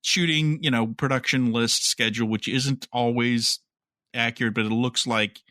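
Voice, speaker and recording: A man's voice: mid-range voice, fairly even intonation, moderate pace, bright, forceful tone, no echo, clean studio sound. A man co-hosting a podcast, casually discussing news and speculating in a conversational way. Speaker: podcast host.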